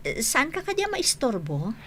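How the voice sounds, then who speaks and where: Casting: sociable young Ilocano woman for radio drama, slightly tentative, animated higher voice. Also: moderate pace, heavily acted. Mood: friendly, tentative. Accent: Northern Philippine Ilocano. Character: friendly young bank worker, acquaintance named Ashley.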